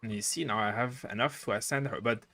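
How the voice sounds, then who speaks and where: A man's voice: medium pitch, fast talker, gravelly, pushed and bright. A young man from Quebec with a Quebec French accent, casually chatting through a livestream and thinking aloud with lots of fillers. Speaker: game streamer.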